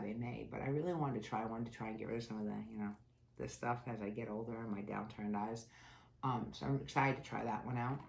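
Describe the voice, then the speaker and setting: A woman's voice: very deep voice, a little mellow. A woman reviewing beauty subscription boxes in a casual, chatty way, sounding nasal from a cold. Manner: chatty, candid, grumbles about packaging. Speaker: beauty vlogger. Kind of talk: casual unboxing chat. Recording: echoey room with faint noise.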